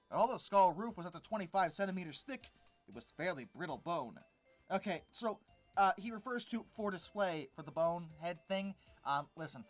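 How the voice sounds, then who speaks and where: An informal video commentator with a middling pitch critiques material point by point. The delivery is exasperated and sarcastic, often waving things off dismissively. Faint music plays behind the voice. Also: fairly bright tone. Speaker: host of a paleontology commentary channel.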